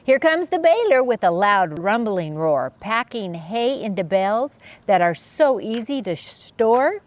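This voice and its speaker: Female children's read-aloud host: unhurried, lively pitch swings, sing-song and playful. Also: no room sound. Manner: expressive sing-song rhyming delivery with playful sound effects. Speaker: children's story reader, channel host.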